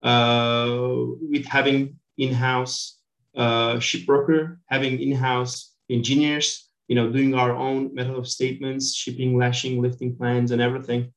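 A man's voice: medium pitch, little pitch movement, a little slow, roomy sound, rough recording. A middle-aged man with a Turkish accent, giving informal, conversational answers as an interview guest. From Turkey. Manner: informal, peppered with "you know". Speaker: interview guest, company director.

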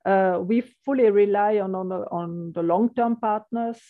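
A woman's voice: medium pitch, normal speed, narrow, tinny audio. A woman in her fifties from Austria, speaking English as an interview guest, often restarting her sentences. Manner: hesitant, restarts, chatty. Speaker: logistics business guest. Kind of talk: casual business interview.

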